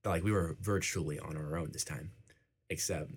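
A man's voice: rumbling low, normal speed, slightly rough. A young man, whose first language was Icelandic, being interviewed in a casual chat, filling his talk with "like". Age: young adult. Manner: fills talk with "like".

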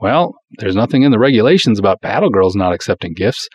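A man's voice: on the low side, animated, fast, husky, no echo. A man narrating a pulp adventure in the first person as an earnest, smitten small-town cop hero. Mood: wry, smitten.

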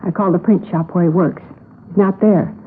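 A woman's voice: lowish voice, steady tone, a little fast, husky. An American woman playing the earnest, anxious and insistent sister of a missing wife in a 1950s radio crime drama. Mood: worried. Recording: tinny, noisy old radio recording.

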